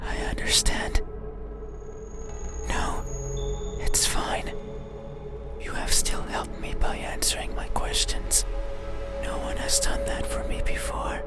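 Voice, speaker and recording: A man voicing an eerie, lonely monster in a horror game, pleading and resentful in a breathy, formal, deliberate ASMR whisper. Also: loud music behind the voice, close to an everyday voice. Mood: resentful, obsessive. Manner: slow, deliberate, formal, brooding.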